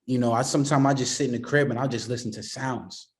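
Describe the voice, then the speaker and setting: A man's voice: mid-range voice, steady tone, fast talker. A man from the Boston area, a music producer being interviewed, talking casually and rambling with lots of "you know what I mean" fillers.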